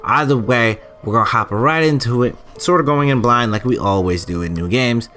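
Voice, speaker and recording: A man's voice: mid-range voice, normal speed, pushed and bright. A man giving casual, chatty let's play commentary and reading game text aloud, stumbling now and then. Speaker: gaming channel host.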